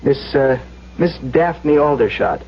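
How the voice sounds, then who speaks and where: An actor playing an anxious, desperate young engineer in a 1970s radio drama, halting and pleading as he speaks. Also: medium pitch, expressive, breathy edge. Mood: desperate, insistent. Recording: dull, noisy old radio recording.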